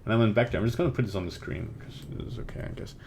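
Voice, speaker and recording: Low-voiced Belgian man muttering while he works. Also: lively intonation, very fast, creaky, darkish tone, slight background noise. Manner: rambling, thinks aloud, mutters to himself while working. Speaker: livestreaming 3D artist. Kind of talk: casual live tutorial with chat. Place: home room at a desk. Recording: faint keyboard typing and clicks.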